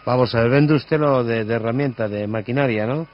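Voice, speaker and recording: A man's voice: medium pitch, a little fast. A man with an accent from Spain making a prank phone call, pressing on with muddled, insistent questions. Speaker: prank caller.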